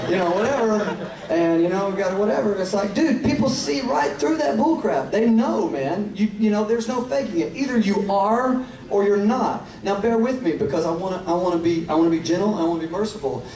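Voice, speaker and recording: A man in his thirties with a Southern Tennessee accent, preaching in a loose, joking way that climbs into fervent, drawn-out appeals. His voice sits a little high. It rings through a large echoey hall from a distant mic, over steady room noise. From Tennessee, USA. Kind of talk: live evangelistic sermon.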